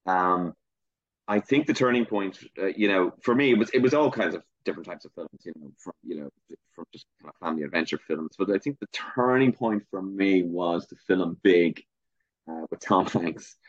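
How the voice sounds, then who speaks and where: A man's voice: low voice, dry recording. A middle-aged man with a Dublin accent, answering interview questions reflectively, hesitant with many fillers and restarts. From Ireland. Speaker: guest filmmaker. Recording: muffled lo-fi sound.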